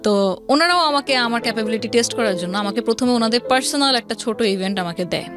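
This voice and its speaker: A woman's voice: on the high side, lively intonation, fast talker, over music. A woman with a Bangladeshi accent telling her story earnestly as an interview guest, stressing by repetition and mixing in English words. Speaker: interview guest, event planner.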